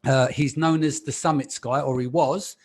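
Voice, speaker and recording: A man hosting a live podcast panel, chatty and relaxed with frequent ums. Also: mid-range voice, moderate pace. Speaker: podcast host.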